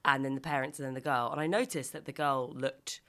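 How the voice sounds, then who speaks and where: Croaky, bright-toned young woman. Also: rumbling low, fairly even intonation, a little fast. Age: 20s. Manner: chatty storyteller, laughs a lot, acts out quotes. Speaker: podcast co-host. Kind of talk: casual chat.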